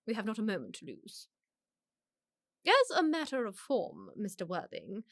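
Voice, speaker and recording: Haughty aristocratic aunt, upper-class English, highish swooping voice, pompous radio-comedy grandeur. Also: female voice, fairly bright tone, no echo, studio quality. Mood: imperious, sceptical. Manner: pompous, emphatic, grandly drawn-out pronouncements. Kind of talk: radio play comedy of manners.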